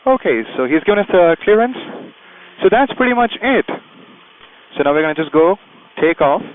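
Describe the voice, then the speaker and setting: A man's voice: high-pitched, lively intonation, normal speed. Man explaining flying, in dull lo-fi audio. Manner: explanatory, step by step. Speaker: private pilot, video host. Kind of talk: instructional vlog commentary with radio calls from the cockpit. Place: small plane cockpit.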